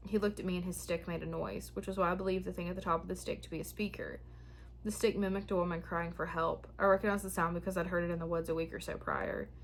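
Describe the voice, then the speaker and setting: A young woman who hosts a spooky story channel, chatting frankly and then reading a listener's tale aloud, her voice starting to go hoarse. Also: fairly low pitch, slight background noise. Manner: chatty, frank and a bit frazzled when talking, steady when reading. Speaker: horror story channel host. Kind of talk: casual chat and reading listener stories aloud.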